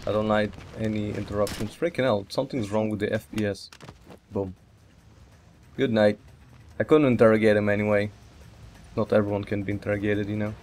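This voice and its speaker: A man's voice: on the low side, flat delivery, a little fast, long pauses, a little mellow, a little hiss or hum. A man giving casual let's play commentary as he plays a video game. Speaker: gamer.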